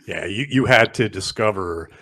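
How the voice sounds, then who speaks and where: A man co-hosting a sports interview, asking long, measured questions. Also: on the low side, a bit bright, a little hiss or hum. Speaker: co-host.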